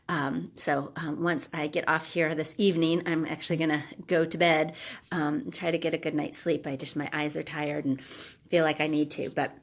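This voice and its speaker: A middle-aged woman, congested and nasal from allergies, hosting a casual live talk full of ums and restarts. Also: sits low. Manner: hesitant, many ums and restarts. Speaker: live video host.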